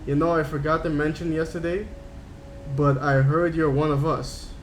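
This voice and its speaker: A man's voice: fairly high pitch, flat delivery, normal speed, a little hiss or hum. A man from Curaçao streaming a game, chatting casually and answering his chat in a rambling way. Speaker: gaming streamer.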